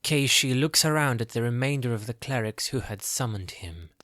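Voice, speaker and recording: A man's voice: mid-range voice, animated, moderate pace, fairly bright tone, no echo. A man giving scripted narration as the storyteller of a fantasy audio drama.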